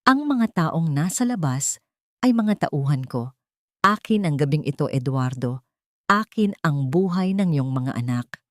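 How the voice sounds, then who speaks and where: A woman's voice: low voice, animated, a little slow, no room sound. Audiobook drama villainess, played by a female reader: blackmailing doctor, commanding and menacing, dry sound. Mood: bitter, defiant. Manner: threatening, commanding.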